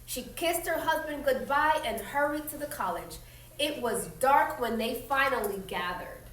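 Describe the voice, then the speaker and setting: A woman with a high voice reads aloud like a teacher to young children, stressing key words and stepping out to explain or question. She is instructive and expressive. The sound is roomy and slightly distant, with faint noise behind her. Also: normal speed. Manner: emphatic, instructive, checks understanding with questions. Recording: echoey room, distant mic, faint noise.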